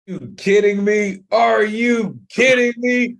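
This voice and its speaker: Slow, animated man as the open mic host on a video call, earnest and loud by turns. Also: high-pitched, vocal fry. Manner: animated, shouts and laughs between earnest praise. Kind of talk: hosting an online poetry open mic.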